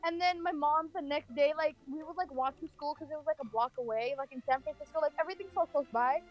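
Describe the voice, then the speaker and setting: A woman with an extremely high voice shares a humorous personal anecdote. Her delivery is casual and giggly, peppered with swearing and self-mockery. Faint music plays behind her. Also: quick pace, lo-fi sound. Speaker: storyteller. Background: background music.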